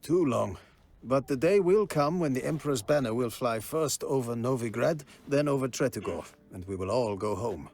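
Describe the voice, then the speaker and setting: A husky, haughty imperial quartermaster. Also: male voice, mid-range voice, animated, moderate pace, darkish tone. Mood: stern, businesslike. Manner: formal, haughty, precise. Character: stern, proud imperial army quartermaster, weary and by-the-book. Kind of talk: video game acting.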